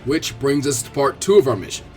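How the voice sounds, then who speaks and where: A man reading the part of a calm adult ninja squad leader in a story, easygoing, measured and instructive. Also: medium pitch, soft background music, close to an everyday voice.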